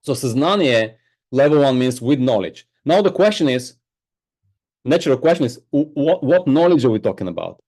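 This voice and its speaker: A Bulgarian-born man with a mellow, warm voice talks as an interview guest. He explains with enthusiasm and lets his voice drop low on side remarks. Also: big pitch swings, normal speed. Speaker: guest, spiritual teacher. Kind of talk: podcast interview.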